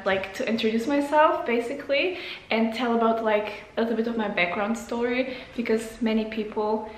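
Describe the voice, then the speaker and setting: A woman's voice: on the high side, echoey room. A woman in her late twenties from Poland, chatting casually through a Q&A for her channel, with loose phrasing, fillers and restarts. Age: 20s. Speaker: vlogger. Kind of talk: casual Q&A to camera.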